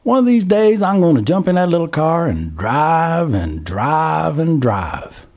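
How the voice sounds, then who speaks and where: A man voicing an excitable, toothless old Southern man who drawls and exclaims in a high voice. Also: measured pace, muffled recording. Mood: excited.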